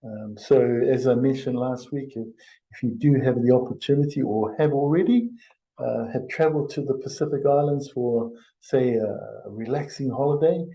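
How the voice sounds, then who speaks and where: Mellow, halting New man presenting. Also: medium pitch, poor audio. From Samoa. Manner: halting, pausing, conversational. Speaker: health and safety presenter. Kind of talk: informal webinar presentation.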